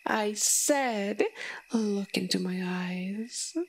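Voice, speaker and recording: A woman voicing a female vampire in an audio story, sweet and soothing, coaxing gently but with command. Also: medium pitch, drawn-out words, vocal fry, slight background noise, pitched above the performer's own voice. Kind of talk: dramatic audio story dialogue.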